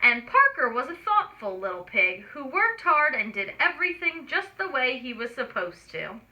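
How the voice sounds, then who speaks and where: A woman storyteller reading aloud to small children, expressive and explaining things simply. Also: high-pitched, moderate pace, rough recording. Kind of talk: children's read-aloud narration with asides.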